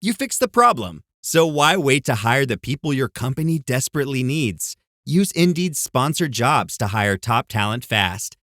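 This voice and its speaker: Announcer, male, mid pitch, dry close read, scripted ad copy. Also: sing-song, normal speed, hardly any pauses, a bit bright, no room sound.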